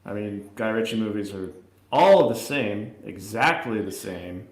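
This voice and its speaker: A man's voice: lowish voice, animated, measured pace. A man giving his opinions in a casual film review chat, recorded in a roomy space with some noise. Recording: roomy echo, faint background noise.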